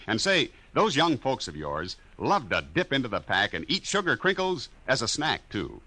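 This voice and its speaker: A man working as a 1950s radio announcer, reading an intro and an ad in a booming, salesman-like manner. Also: wildly swooping pitch, moderate pace, bright, forceful tone.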